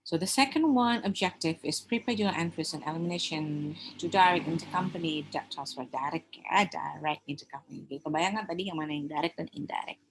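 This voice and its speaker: A woman's voice: low-pitched, fairly quick, gravelly, slight background noise, low-quality recording. A woman with an Indonesian accent giving an informal lecture, explaining in a conversational way and often checking that listeners follow. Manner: conversational, often checking understanding with tag questions. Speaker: accounting lecturer.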